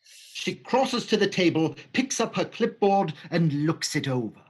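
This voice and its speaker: Man, stage-direction narrator, slightly high voice, steady, matter-of-fact. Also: measured pace, husky. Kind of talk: livestream script reading.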